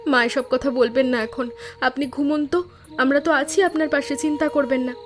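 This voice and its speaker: A woman plays a devoted village housewife in a cartoon family drama. Her voice is fairly high and she speaks with earnest emphasis, over a music bed. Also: fairly even intonation, fast, lightly acted. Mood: anxious, caring. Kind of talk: Bengali animated family story dialogue.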